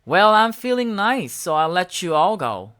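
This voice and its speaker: A woman voicing a blustery male constable with a soft heart in a children's storybook. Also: fairly low pitch, very animated, unhurried, pitched above the performer's own voice. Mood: puzzled.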